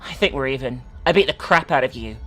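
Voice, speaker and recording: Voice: mid-range voice, quick pace, bright, forceful tone, soft background music, pitched below the performer's own voice, close to an everyday voice. A narrator voicing a sullen, defiant teenage boy with a grumbling, dismissive manner in a story reading.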